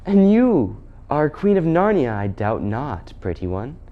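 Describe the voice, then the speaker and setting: A man's voice: mid-range voice, very animated, unhurried, lo-fi sound, pitched above the performer's own voice. A man voicing an imperious witch queen who is sweetly menacing and condescending, with haughty archaic phrasing. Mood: coaxing, hypnotic. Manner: archaic, haughty phrasing with coaxing mock pity.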